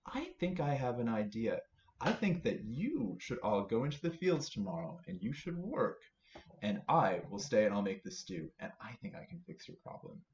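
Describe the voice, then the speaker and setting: A man's voice: mid-range voice, very animated, moderate pace, darkish tone, natural voice. Man playing a clever little tree toad, roomy sound. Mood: confident. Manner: coaxing, self-assured. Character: small clever tree toad, confident, taunting trickster. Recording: roomy, dull home recording with faint noise.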